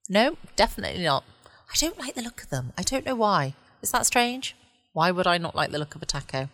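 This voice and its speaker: A woman's voice: fairly low pitch, sing-song, brisk, fairly bright tone, dry recording. A woman hosting a casual bedtime podcast, musing aloud and answering her own questions. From United Kingdom. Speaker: podcast host. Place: at home, indoors.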